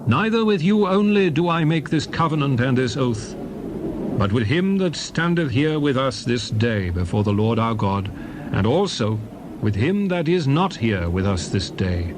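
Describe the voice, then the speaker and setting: A stern male prophet, acted by a man, exhorts his people in solemn, emphatic biblical oratory. The pitch is middling and the delivery measured and declamatory, as in a dramatized scripture recording. Faint noise and ambience sit behind the voice. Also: big pitch swings. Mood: solemn exhortation. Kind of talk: dramatized King James Bible reading, declamatory oratory.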